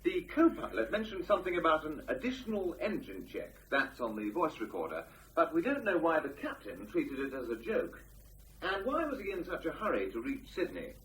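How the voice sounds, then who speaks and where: A probing male news commentator in a radio play. His voice is slightly high and varies widely, full of insinuating questions, over faint noise. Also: wildly swooping pitch, a little fast, fairly bright tone, poor audio. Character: probing broadcast news commentator on an air crash.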